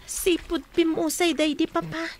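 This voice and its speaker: Casting: long-suffering young niece for radio drama; very high, little pitch movement, timid and plaintive. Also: female voice, level tone, unhurried, slight background noise. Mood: sorrowful. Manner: submissive, plaintive. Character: meek, mistreated orphaned young niece, quietly suffering. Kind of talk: Ilocano radio drama acting.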